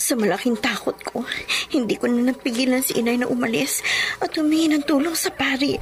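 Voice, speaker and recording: An actress playing a terrified young pregnant wife in a horror radio drama, tearful and trembling with gasping breaths. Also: female voice, high-pitched, steady tone, brisk, close to an everyday voice. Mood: fearful, tearful.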